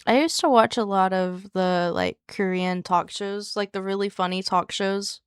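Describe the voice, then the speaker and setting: A woman streamer chatting casually with her viewers, with a soft, soothing voice and a chatty, giggly manner. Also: medium pitch, steady tone, normal speed, clear, resonant tone, no room sound, clean studio sound. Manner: chatty and giggly, talks to chat by name.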